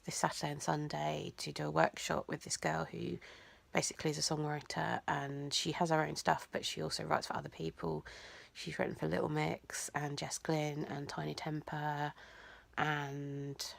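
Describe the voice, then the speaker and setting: A young woman, talking in a rambling, hesitant way in a personal vlog, nasal and croaky from blocked sinuses. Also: deep voice, level tone, unhurried, no echo. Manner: rambling, hesitant, many ums and likes. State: congested, teary. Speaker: vlogger.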